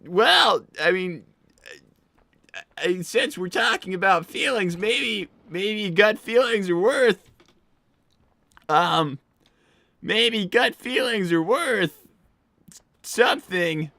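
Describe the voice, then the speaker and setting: A boyish cartoon fox lad, put on by a performer in live roleplay, with a bright, animated voice. He stammers and waffles bashfully, circling around what he means. The sound is dry and close. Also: mid-range voice, takes it slowly, pauses often, no echo, full character voice. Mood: awkward, bashful. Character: bashful, evasive, boyish young male fox. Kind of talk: improvised live character roleplay.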